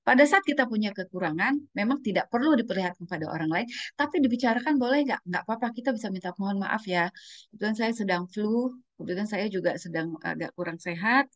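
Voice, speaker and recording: A woman speaks as a trainer at an informal presentation. Her pitch is average for a woman and the sound is dry, with little room echo. She explains in a conversational, instructive way, often checking in with short tag questions and dropping in English words. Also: expressive, quick pace, highs cut off.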